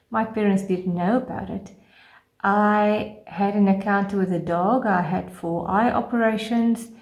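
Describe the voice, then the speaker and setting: A woman's voice: mid-range voice, darkish tone, reverberant. A woman giving an emotional, heartfelt testimony as a radio listener.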